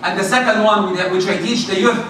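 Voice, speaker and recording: A man with a loud voice preaching a lively, emphatic sermon in a mosque. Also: sits high, brisk. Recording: echoey hall from far off, faint noise.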